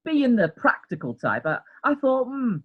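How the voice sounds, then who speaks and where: A woman's voice: fairly high pitch, sing-song, normal speed, husky, highs cut off. A woman playing a practical farmer husband in a period courtroom radio play, a little hesitant. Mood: earnest. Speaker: defendant.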